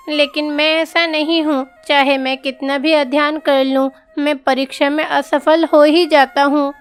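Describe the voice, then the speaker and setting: Anxious, respectful pupil female performer, high voice, storybook tale, music bed. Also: a bit bright. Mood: despondent. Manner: respectful, earnest. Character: earnest, respectful, anxious schoolchildren seeking their teacher's guidance.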